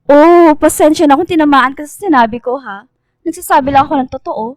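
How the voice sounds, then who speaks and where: Woman acting a high-voiced, feisty young provincial. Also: a little fast, dry recording, clean studio sound, lightly acted. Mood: sarcastic. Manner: sarcastic, indignant. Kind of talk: radio drama acting.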